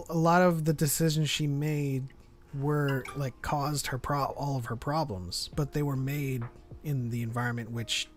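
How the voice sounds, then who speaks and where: A man hosting a fan livestream, talking casually with a group and addressing viewers. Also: a little high. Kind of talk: casual group discussion and reading viewer chat.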